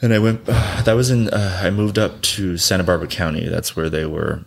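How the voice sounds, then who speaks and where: A man with a very low voice and plenty of gravelly fry speaks as an interview guest. He comes from Southern California and tells his story bluntly, with casual profanity. He laughs often as he talks. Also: fairly even intonation, moderate pace. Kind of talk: candid personal storytelling.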